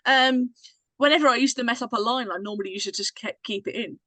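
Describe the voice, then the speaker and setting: A woman's voice: fairly high pitch, quick pace, a bit bright, no echo. A woman talking in a casual, informal group chat.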